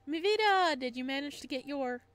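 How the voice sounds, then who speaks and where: A woman's voice: sits high, animated, normal speed, a bit bright, faint music behind, pitched above the performer's own voice. A woman voicing a menacing, possessive man from a visual novel, sneering and drawling with mocking hostility.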